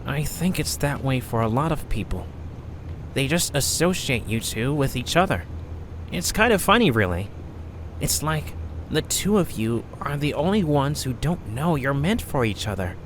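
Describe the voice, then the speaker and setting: A man doing a gentle, reassuring young friend. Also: medium pitch, sing-song, normal speed, a little hiss or hum, lightly acted.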